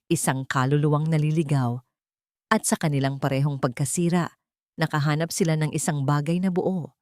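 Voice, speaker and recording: Low-voiced woman narrating dramatic fiction. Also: a little mellow, no echo, clean studio sound. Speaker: audiobook-style story narrator.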